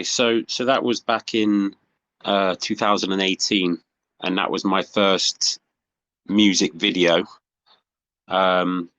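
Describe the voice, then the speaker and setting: A man's voice: deep voice, a bit bright, dry recording, highs cut off. A man who makes hip hop, answering interview questions on a video call in a thoughtful, hesitant way. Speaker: guest, hip hop artist.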